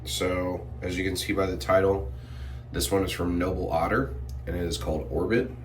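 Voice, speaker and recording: A man reviewing shave soap in a relaxed, rambling way, a bit sniffly and clearing his throat often. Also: low voice, normal speed, distant mic, slight background noise. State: sniffly from irritated sinuses. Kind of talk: casual product review vlog.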